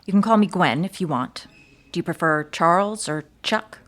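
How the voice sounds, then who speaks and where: Police detective played by an actress, lowish female voice, tactful and procedural, audio drama interrogation. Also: moderate pace, fairly bright tone, natural voice. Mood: calm, probing. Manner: patient, polite, procedural. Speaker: detective conducting a recorded interview.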